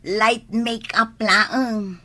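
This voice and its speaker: Fussy, fairly high-voiced mother played by a woman. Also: fairly even intonation, drawn-out words, pushed and bright, heavily acted. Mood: fussy, proud. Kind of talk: Ilocano radio comedy drama acting.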